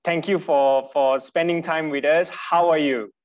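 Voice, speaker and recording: A man's voice: on the high side, steady tone, measured pace. Polite male webinar host, slightly distant. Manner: polite, restarts often with repeated words. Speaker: moderator, host. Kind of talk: webinar interview hosting. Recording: dull distant video call audio.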